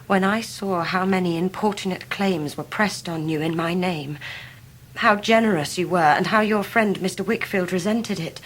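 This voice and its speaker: An actress playing a devoted, dignified young Victorian wife in a period radio drama, fervent and formal in delivery. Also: female voice, mid-range voice, fairly bright tone, a little hiss or hum, lightly acted, rough recording.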